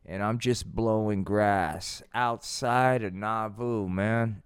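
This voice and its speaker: A man's voice: fairly low pitch, takes it slowly, smooth, dry recording, clean studio sound. A man voicing a reluctant, pressured prophet puppet in a comic show, meek and golly-gee folksy. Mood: burdened.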